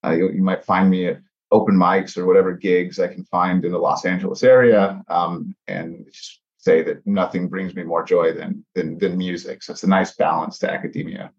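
A very low-voiced man from Mexico and Texas in his thirties, chatting casually as a podcast guest. He talks in a friendly, animated way, peppered with "uh" fillers. The recording sounds a little dull and lo-fi. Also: normal speed. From born in Mexico, raised in South Texas. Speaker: podcast guest, air pollution scientist. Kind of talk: casual interview talk.

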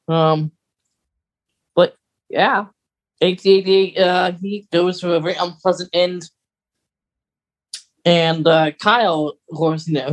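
A podcast co-host chatting casually and recapping a story in a halting, rambling way. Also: medium pitch.

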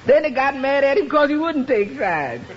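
An actress playing a good-humoured, sympathetic household cook with a teasing, emphatic delivery in a 1950s radio sitcom. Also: female voice, sits high, big pitch swings, normal speed. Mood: mock sympathetic. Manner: chuckling, playfully emphatic delivery. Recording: muffled, noisy radio recording, audience behind.

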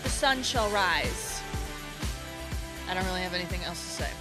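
A woman hosting a sports radio show in a studio, teasing her co-host in quick, playful banter. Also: lowish voice, expressive, over music. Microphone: studio microphone.

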